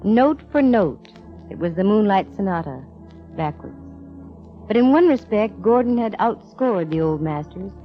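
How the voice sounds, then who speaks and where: An American actress playing a sharp female private eye in 1940s radio drama, explaining things with dramatic, measured pauses. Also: mid-range voice, very animated. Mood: solemn, explanatory. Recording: dull vintage radio recording with music.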